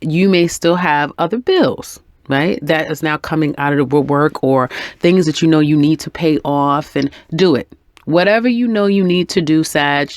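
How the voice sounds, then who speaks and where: A woman's voice: low voice, no room sound. Chatty woman reading tarot, stuffy head cold, lots of ums, dry close sound. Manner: chatty and encouraging, with frequent ums and little laughs. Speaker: tarot reader. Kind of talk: casual weekly tarot reading.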